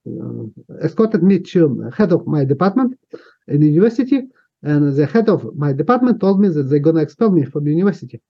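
A man's voice: fairly high pitch, slightly rough. A man in his fifties from Ukraine with a Ukrainian accent, speaking English as a second language and recounting his past hesitantly, full of fillers and restarts. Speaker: interviewee. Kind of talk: recounting personal history. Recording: dull lo-fi sound.

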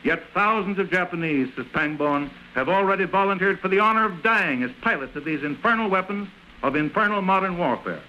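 A man's voice: high-pitched, expressive, a little fast, pushed and bright, dull audio. An American man delivering oratorical, emphatic 1930s radio news commentary on a noisy old recording.

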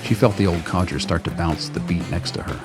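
A man's voice: sits low, quick pace. A man reading scripted narration for a radio play as its storyteller. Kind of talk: scripted audio drama narration. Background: music bed.

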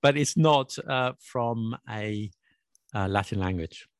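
A man's voice: fairly low pitch, takes it slowly, no echo, studio quality. A man hosting a casual podcast, chatty and explanatory, laughing often as he teaches. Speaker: podcast host and English teacher. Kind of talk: casual chat. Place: Paris.

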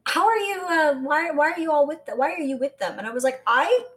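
An American woman from South Florida chatting casually as a podcast co-host, telling stories in an animated way. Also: sits high, fairly quick. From South Florida, United States. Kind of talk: casual conversational chat.

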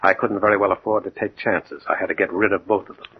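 An actor playing a cold, self-assured gangster boss with blunt, matter-of-fact menace in a 1940s radio drama. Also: male voice, deep voice, steady tone, fast talker, natural voice. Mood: cool menace. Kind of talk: 1940s radio crime acting. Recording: muffled, noisy 1940s radio recording.

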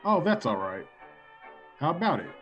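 A man's voice: on the high side, big pitch swings, normal speed, lo-fi sound. Man playing a brusque suitor with swooping delivery. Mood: courting. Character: brusque, forward male suitor courting a young woman. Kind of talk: performance of a classic radio play. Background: music behind the voice.

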